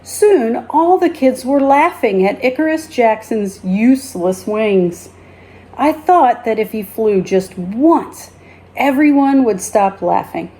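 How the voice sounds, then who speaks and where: A woman reading a children's story aloud as a teacher, in a deliberate, expressive storytelling manner. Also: a little high.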